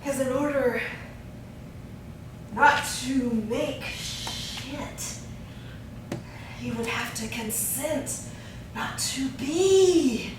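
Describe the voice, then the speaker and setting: Anguished, extremely slow theatrical ranter. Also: female voice, sits high. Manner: drawn-out, dramatic, swinging between hushed and forceful. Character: anguished female ranter against human existence. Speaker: stage actor. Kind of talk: avant-garde theatrical monologue. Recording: echoey theatre, distant mic, faint noise.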